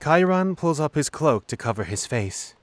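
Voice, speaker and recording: A man's voice: on the high side, big pitch swings, moderate pace, no echo. A man narrating a scripted fantasy story in the third person as its narrator.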